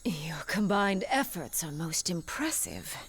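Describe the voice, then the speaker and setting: A cold, haughty alien villainess from a video game. The delivery is deliberate and theatrical, with wide, imperious swings in pitch over faint background noise. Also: female voice, big pitch swings. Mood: imperious, threatening.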